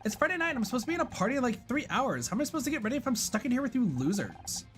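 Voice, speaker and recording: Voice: mid-range voice, sing-song, very fast, soft background music, close to an everyday voice. A performer voicing a vain, spoiled young female TV star in a video game, whiny and self-important.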